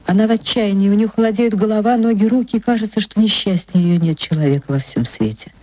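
A woman, an actress, reading a short story aloud in an expressive, tender and dramatic way. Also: mid-range voice, fast, dry recording. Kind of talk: expressive literary narration.

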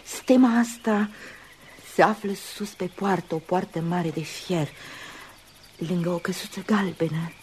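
A woman's voice: lowish voice, darkish tone, lightly acted. Actress as dreamy, yearning woman, faintly noisy recording. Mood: eager, wondering. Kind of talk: Romanian radio theatre acting. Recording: noisy old radio drama recording.

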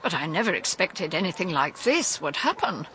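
A woman's voice: fairly low pitch, very animated, normal speed, pushed and bright, a little hiss or hum, rough recording. A woman playing a genteel, guarded elderly country lady in a radio drama, speaking with emphatic propriety. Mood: guarded, upset. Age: older.

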